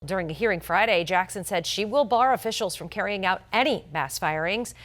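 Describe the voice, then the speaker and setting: A woman's voice: medium pitch, a little fast, a bit bright. A woman delivers morning television news in a mid-range voice. Her delivery is polished and scripted, with emphatic stress on important words, as an anchor does.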